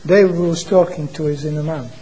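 Male preacher, quiet voice, mellow, slightly raised pitch, halting restarts. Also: steady tone, slight background noise, rough recording. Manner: halting, repeats and restarts words. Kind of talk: informal church sermon. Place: church meeting. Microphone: microphone being adjusted.

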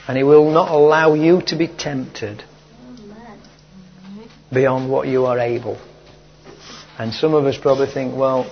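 A man preaches to his congregation, voice fraying as he loses it. He takes his time and hammers lines home by repeating them. The tape is dull and lo-fi, with a faint hiss. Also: medium pitch. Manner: slow, emphatic, repeats key phrases. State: losing his voice. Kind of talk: sermon. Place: church hall with a congregation.

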